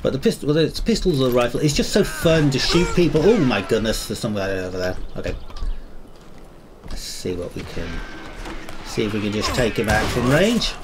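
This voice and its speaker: A man with a slightly croaky voice giving rambling, enthusiastic commentary while playing a game. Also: medium pitch, lively intonation, brisk, over music. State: slightly croaky, under the weather. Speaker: gameplay commentator. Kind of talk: casual gameplay commentary.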